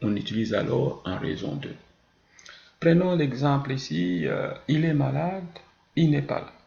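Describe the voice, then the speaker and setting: Gravelly male French teacher in an echoey room. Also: mid-range voice, expressive, unhurried, mellow. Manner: didactic, asks and answers his own questions. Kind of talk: grammar lesson with spoken examples. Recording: muffled, roomy, echoing sound.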